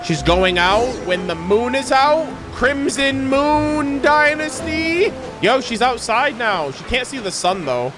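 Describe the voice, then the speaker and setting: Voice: sits high, lively intonation, takes it slowly, few pauses, over music. A game streamer reacting casually to a story as it plays, exclaiming in slang and swearing freely.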